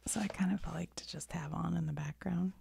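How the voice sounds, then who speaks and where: A middle-aged woman telling a rambling story in a soft, unvoiced whisper, with little asides as she goes. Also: lowish voice, steady tone, brisk, vocal fry, background noise. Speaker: video creator.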